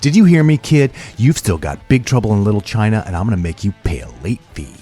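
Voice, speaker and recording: An actor playing a gruff, scolding, threatening video store clerk in an audio drama. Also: male voice, lowish voice, very animated, fast, mellow, faint music behind. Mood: annoyed, scolding.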